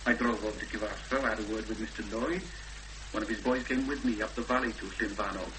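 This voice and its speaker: An actor playing a cautious, courteous rural policeman with a Welsh accent and a halting official delivery in a 1970s radio drama. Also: lowish voice, steady tone, close to an everyday voice. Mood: halting, sombre. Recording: old radio recording, loud hum and noise.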